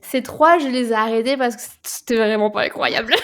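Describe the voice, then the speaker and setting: A woman, co-hosting a casual book podcast, animated and often repeating words as she searches for them. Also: a little high, measured pace. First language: French. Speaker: podcast co-host.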